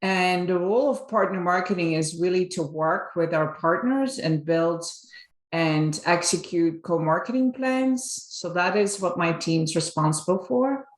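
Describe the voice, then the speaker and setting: A woman's voice: on the low side, unhurried, reverberant. A middle-aged woman from Belgium, a guest on an interview podcast, telling her story in casual chat with many fillers and restarts. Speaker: guest, marketing executive.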